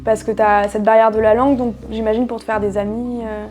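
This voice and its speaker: A woman's voice: fairly high pitch, flat delivery, fairly quick, darkish tone, faint music behind. A woman interviewing a guest, asking curious questions in a casual way.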